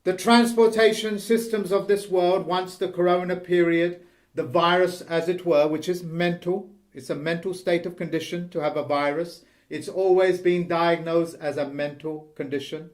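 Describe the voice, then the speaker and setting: A man hosting a video, delivering a slow, emphatic monologue. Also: high voice, steady tone. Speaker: host.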